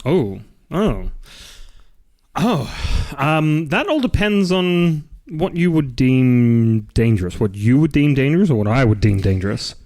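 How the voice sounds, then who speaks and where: A man's voice: big pitch swings, unhurried, darkish tone. A man, co-hosting a casual livestreamed podcast and telling stories with plenty of fillers. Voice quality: deep voice. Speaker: podcast co-host.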